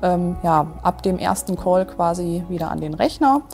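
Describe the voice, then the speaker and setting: A woman's voice: on the low side, animated, moderate pace, vocal fry, a little mellow, soft background music. A woman in her late twenties, a nutrition coach describing her workday casually, with many fillers. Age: 20s. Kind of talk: recruiting video interview.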